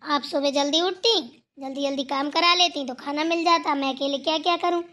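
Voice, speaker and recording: A woman's voice: high-pitched, steady tone, fairly quick, pushed and bright. A woman voicing an outspoken, hardworking younger daughter-in-law in a Hindi family cartoon, who talks back, teases and moralizes. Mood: exasperated. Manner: talking back, teasing, moralizing.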